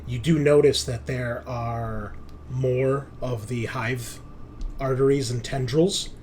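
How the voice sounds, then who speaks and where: A man of average pitch runs a tabletop roleplaying session as game master. He sets the scene casually and descriptively, taking his time, over faint background noise. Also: fairly even intonation, slow pace, darkish tone.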